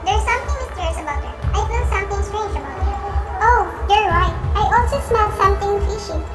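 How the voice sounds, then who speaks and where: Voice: very high-pitched, animated, quick pace. A young performer with a Filipino accent voicing a college student in an amateur puppet show. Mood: suspicious. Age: young adult. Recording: echoey, distant voices under clear music.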